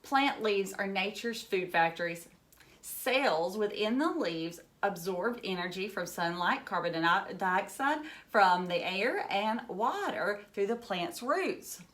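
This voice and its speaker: A woman's voice: mid-range voice, expressive, takes it slowly, a bit bright. Woman reading aloud and explaining to children. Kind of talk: children's read-aloud with explanatory asides and questions.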